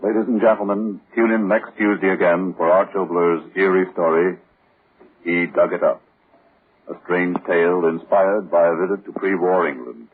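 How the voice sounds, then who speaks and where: A man's voice: low-pitched, fairly even intonation, normal speed, slightly rough. A man announcing a 1940s radio program and reading its sponsor's ads in a formal announcer style. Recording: tinny old radio broadcast.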